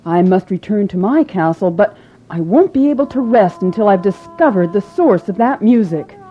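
A woman's voice: mid-range voice, lively intonation, moderate pace, warm tone, close to an everyday voice. A woman voicing an earnest, romantic young prince who speaks in a courtly storybook manner, in a slightly muffled recording.

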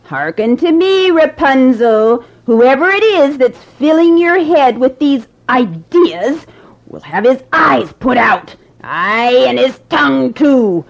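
A woman's voice: very high-pitched, big pitch swings, slow, a bit bright, voice pushed up, heavily acted. A woman voicing a wicked old sorceress who speaks in a threatening, old-fashioned way, in a slightly muffled recording. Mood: menacing. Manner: threatening, archaic speech. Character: wicked old female sorceress, jealous and menacing.

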